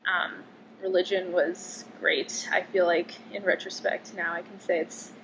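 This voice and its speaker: A woman's voice: lowish voice, level tone, unhurried, background noise. A woman in her twenties from Connecticut, a medical student answering interview questions casually, with lots of "um" and "like". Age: 20s. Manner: chatty, frequent "um" and "like" fillers.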